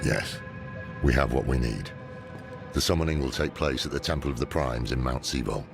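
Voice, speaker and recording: An actor playing a weary, grave scholar and mentor in a video game, with a gravelly, deliberate delivery over loud music. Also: male voice. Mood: somber. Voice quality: very deep, gravelly. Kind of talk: video game cutscene acting.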